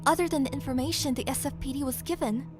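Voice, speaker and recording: An earnest, headstrong young woman vigilante played by a voice actress in an audio crime drama. Her voice is distinctly high. Faint music sits behind her. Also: fairly even intonation, fast, close to an everyday voice. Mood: matter-of-fact.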